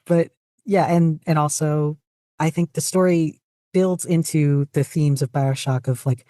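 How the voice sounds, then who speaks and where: A woman's voice: deep voice, steady tone, measured pace, warm tone, no room sound, clean studio sound. A woman chatting casually as a podcast guest, rambling along with self-deprecating humor. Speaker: podcast guest, fanfiction writer. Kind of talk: casual chat.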